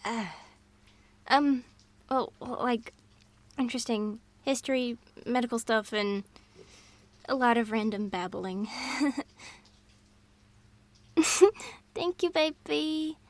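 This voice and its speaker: High-pitched woman, excitable rambling chatter. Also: takes it slowly, long pauses. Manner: scatterbrained, excitable, jumps between topics. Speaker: audio roleplay performer. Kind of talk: intimate girlfriend roleplay, rambling fun facts.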